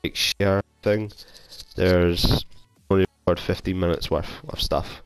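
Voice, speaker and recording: Deep-voiced Scottish man with a cold. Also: steady tone, a little slow, smooth, faint music behind. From Scotland. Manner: rambling, self-deprecating, chatty. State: has a cold. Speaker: gaming YouTuber. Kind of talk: casual gameplay commentary. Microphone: console headset mic.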